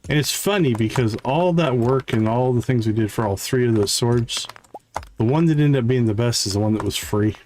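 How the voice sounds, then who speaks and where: A man's voice: medium pitch, moderate pace, a little mellow, slight background noise. A man giving casual, playful livestream commentary as he plays a video game, often muttering to himself. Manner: playful, talks to himself, chants little sound words.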